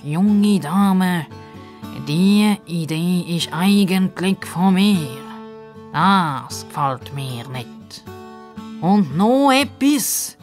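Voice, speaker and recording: A woman voicing a pompous, grumbly male crocodile who talks in a ponderous, drawn-out way in a children's story. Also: lowish voice, darkish tone. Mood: grumbling, hesitant. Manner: ponderous, drawn-out, self-important speech.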